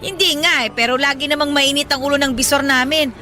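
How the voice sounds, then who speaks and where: Woman acting proud hardworking nanay in a radio drama, high bright voice, motherly, bit of music behind. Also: fast, natural voice. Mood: indignant. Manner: animated, motherly, colloquial, playfully indignant. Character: hardworking, proud, affectionate 37-year-old mother driving a pedicab.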